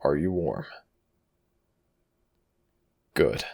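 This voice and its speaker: A man voicing an ancient Egyptian death god in an ASMR roleplay, tender and protective but quietly vengeful, murmuring slowly and formally with a gravelly, breathy rasp. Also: very deep voice, lively intonation, exaggerated voice. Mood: tender, content. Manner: slow, hushed, formal archaic phrasing. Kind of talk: ASMR audio roleplay, intimate murmured acting.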